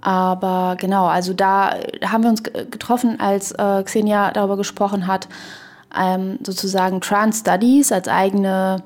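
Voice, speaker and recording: A woman talks in a casual podcast conversation as co-host. Her voice sits at a middle pitch and moves within a fairly narrow range. She thinks aloud haltingly, using academic terms. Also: little pitch movement. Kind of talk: casual, reflective podcast discussion.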